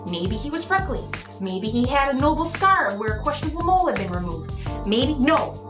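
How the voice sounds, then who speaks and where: A woman with a fairly high voice speaks earnestly as a romance novelist guest. Her delivery is halting and a little apologetic, and music plays clearly behind her. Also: sing-song. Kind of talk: dramatic reading of romance prose, then casual interview talk.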